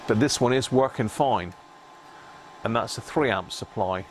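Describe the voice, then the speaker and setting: A man hosting a live repair stream, chatting casually to viewers and thinking aloud in short, halting phrases. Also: medium pitch, a little hiss or hum.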